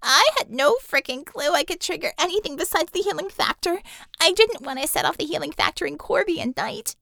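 A woman reader voicing a distraught, guilty young woman in high, loud, pleading outbursts. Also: expressive, a little fast, vocal fry, dry recording, full character voice. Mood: anxious explaining.

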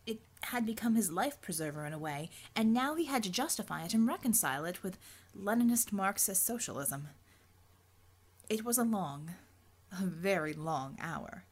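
A woman's voice: mid-range voice, expressive, normal speed, breathy edge. Woman narrating dramatic fiction. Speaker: audiobook narrator.

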